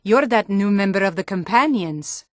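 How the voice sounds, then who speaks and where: A tough Nordic guardswoman from a fantasy video game, played by a woman actor. She speaks in a medium-pitched voice, blunt and plain-spoken, with a wary edge to her short lines. The recording is dry and close, with no room around it. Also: animated, moderate pace, no echo, natural voice. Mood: matter-of-fact.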